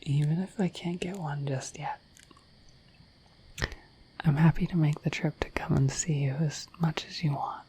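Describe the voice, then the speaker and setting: A woman voicing a shy, affectionate, dorky dragon in a soft, breathy, halting ASMR whisper, close to the microphone. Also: sits low, steady tone, a little hiss or hum, poor audio. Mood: tender, caring. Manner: halting, gentle, earnest. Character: shy, dorky, affectionate female dragon, naive about humans.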